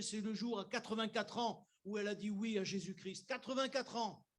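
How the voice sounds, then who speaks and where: High-voiced man preaching conversationally. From Algeria. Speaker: pastor. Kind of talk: sermon. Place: church.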